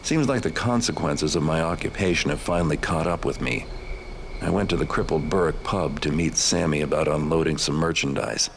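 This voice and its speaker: An actor playing a cynical, world-weary master thief, dry-witted and sardonic in an understated way, in a scripted video game. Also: male voice, fairly quick, a little hiss or hum. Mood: grim, resigned. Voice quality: very low, gravelly. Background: music.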